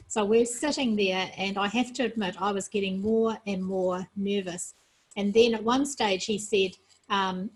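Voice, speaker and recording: A husky-voiced woman speaks as an interview guest, explaining in a measured, earnest way. She sounds tired after a night without sleep. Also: medium pitch, low-quality recording. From New Zealand. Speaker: sports federation president, guest.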